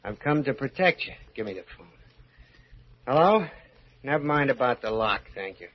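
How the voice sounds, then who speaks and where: A man's voice: mid-range voice, big pitch swings, moderate pace, a little hiss or hum, natural voice. An actor playing a sly, overfamiliar and menacing man in an old-time radio thriller, with a needling drawl. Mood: taunting, overfamiliar. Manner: drawling, needling, swooping delivery. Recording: muffled vintage radio recording.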